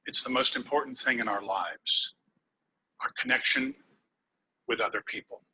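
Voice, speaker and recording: A man giving a prepared contest speech over a webcam, with dramatic pauses and words dropped to a whisper for emphasis. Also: mid-range voice, wildly swooping pitch, pushed and bright, a little hiss or hum, poor audio. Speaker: contest speaker. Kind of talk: prepared speech delivered online.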